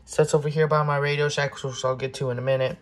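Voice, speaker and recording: A person talking informally and haltingly in a casual show-and-tell vlog, filmed on a handheld phone indoors at home. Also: medium pitch, little pitch movement. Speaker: collector presenting weather radios. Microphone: handheld phone camera. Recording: noisy handheld recording with clicks.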